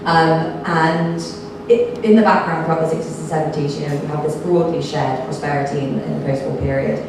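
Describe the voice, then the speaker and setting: Croaky woman speaking in an echoey hall. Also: sits low, fairly even intonation, brisk. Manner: explanatory, many fillers like um and kind of. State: slightly croaky voice. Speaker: think tank researcher, panel speaker. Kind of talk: public talk at a panel event. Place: event hall. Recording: echoey hall, from far off, noisy.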